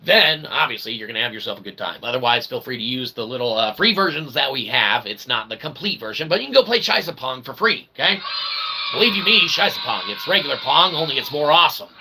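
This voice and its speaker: Forceful, bright-voiced man rambling on. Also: medium pitch, lively intonation, fast talker, slight background noise. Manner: wordy pseudo-technical talk with repeated tag questions. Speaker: channel host. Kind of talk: rambling promotional monologue.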